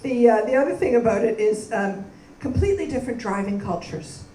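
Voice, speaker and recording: Woman stand-up, from Canada, deadpan, lively pitch, roomy club, heard from a distance, crowd laughing. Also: fairly quick, a little hiss or hum. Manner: deadpan punchlines, bantering with the crowd. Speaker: stand-up comedian. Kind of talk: stand-up comedy set. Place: comedy club stage.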